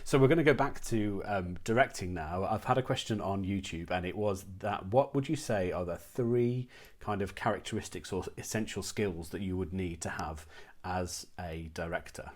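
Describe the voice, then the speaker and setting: A man's voice: low voice, moderate pace, darkish tone. A man hosting a conversational interview, putting questions and responding to the answers.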